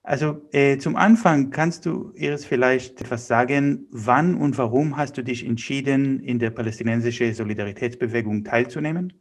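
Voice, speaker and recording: A man's voice: mid-range voice, normal speed, hardly any pauses. A man hosting an interview, giving introductions and putting questions to a guest. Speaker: interview host.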